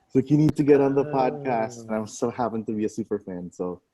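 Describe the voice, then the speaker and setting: Man, thirties, joking chatty intro, mid pitch. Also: expressive, fast, crowd noise, rough recording. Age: 30s. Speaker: pediatrician.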